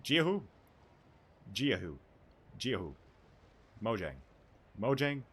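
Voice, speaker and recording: A man's voice: mid-range voice, very animated, takes it slowly, a bit bright, husky. A man giving casual, informal playthrough commentary as a game streamer. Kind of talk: casual playthrough commentary, reading dialogue aloud.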